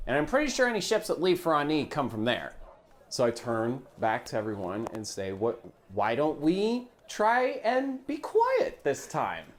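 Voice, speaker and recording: A man's voice: a little high, wildly swooping pitch, normal speed. A man playing a tabletop game, talking casually through plans and speaking for his character. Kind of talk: casual in-game planning talk.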